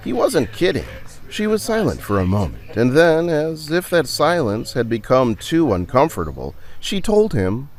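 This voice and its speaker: A man narrates an audio story in a scripted, dramatic storytelling style. His phrasing is deliberate and theatrical, and his pitch rises and falls widely. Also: wildly swooping pitch.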